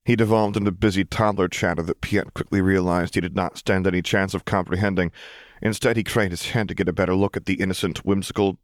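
A man's voice: low-pitched, no room sound, studio quality. A man reading a scripted story as an audiobook narrator.

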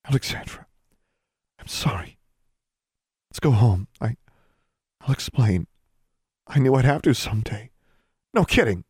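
A man's voice: medium pitch, expressive, normal speed, long pauses, darkish tone, slightly rough, dry recording, clean studio sound, voice pushed up. A man voicing a distressed, protective mother in a story, agitated and reproachful. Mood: remorseful, pleading.

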